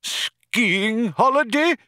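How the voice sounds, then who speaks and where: A proud old storybook gentleman, acted out by a man, raised in pitch and slow in pace. He is courteous and hesitant, and easily affronted. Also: big pitch swings, no echo, clean studio sound, exaggerated voice. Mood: aghast, indignant. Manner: hesitant, courteous, indignant when slighted.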